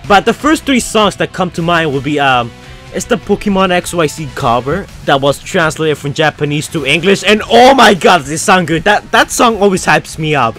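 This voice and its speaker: Chatty young man with lively pitch. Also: normal speed, soft background music. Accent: foreign-sounding. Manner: rambling, chatty, frequent fillers. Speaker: YouTuber answering fan questions. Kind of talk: casual Q&A chat.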